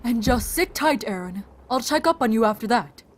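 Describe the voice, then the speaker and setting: High, animated cheerful medic played by a woman. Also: normal speed. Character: brisk, cheerful medic tending survivors. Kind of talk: dramatic audio drama acting.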